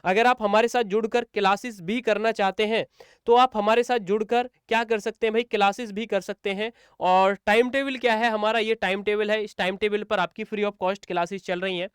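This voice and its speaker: A man's voice: high-pitched, fairly even intonation, fairly quick, smooth, dry recording, studio quality. A man teaching students in an informal walkthrough, repeating points and answering his own questions. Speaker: exam coaching teacher.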